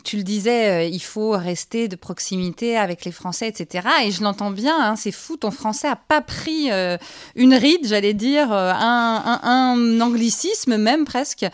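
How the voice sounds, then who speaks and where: A woman's voice: on the high side, moderate pace, clean tone, no echo. A woman interviewer asking long, chatty questions that stumble and restart.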